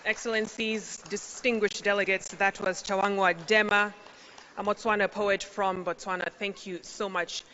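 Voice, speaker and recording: A woman's voice: medium pitch, little pitch movement, normal speed, bright, forceful tone, dull audio, a little hiss or hum. A woman moderating a formal panel, addressing dignitaries in a polished manner. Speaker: event moderator. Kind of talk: formal event hosting.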